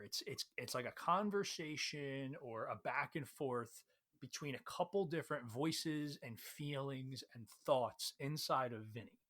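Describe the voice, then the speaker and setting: A man giving a casual, conversational recap of a story as a read-along host, retelling it in an animated way. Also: mid-range voice.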